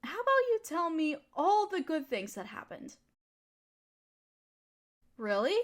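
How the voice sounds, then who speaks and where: Casting: actress as affectionate, softly dominant female partner for intimate audio roleplay; high, very animated, teasing and comforting. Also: a little slow. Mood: caring, soothing. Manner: flirty, soothing, playfully teasing.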